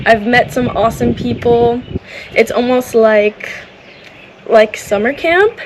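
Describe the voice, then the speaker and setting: A woman's voice: a little high, slow, a little hiss or hum, rough recording. Upbeat woman vlogging, faint ambience. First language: English. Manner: upbeat, enthusiastic, repeats "awesome". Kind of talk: casual vlog talk to camera.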